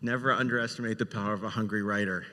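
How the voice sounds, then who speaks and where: A man's voice: fairly low pitch, steady tone, racing, sharp tone. A man hosting a panel, interviewing guests in a conversational way. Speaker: panel host, moderator. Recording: echoey hall with long reverb.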